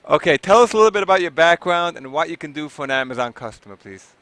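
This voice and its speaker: A man hosting a casual online interview, friendly and asking quick practical questions. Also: fairly high pitch, animated, fairly bright tone, dry recording. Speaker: interviewer, host.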